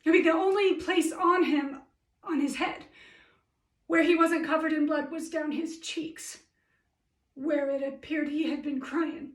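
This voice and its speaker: Shaken, high-voiced policewoman acted by a woman. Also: steady tone. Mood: shaken, pleading. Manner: halting, plain-spoken, earnest. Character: shaken, earnest female police officer recounting a rescue. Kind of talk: documentary theatre monologue. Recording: roomy echo, distant microphone.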